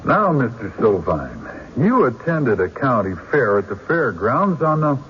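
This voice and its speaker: An actor playing a shrewd, courteous country lawyer cross-examining a witness in a radio drama, deliberate and probing. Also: male voice, medium pitch, very animated. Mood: shrewd, wry. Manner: deliberate, probing, folksy hesitations. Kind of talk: old-time radio drama acting. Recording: dull radio recording, faint noise.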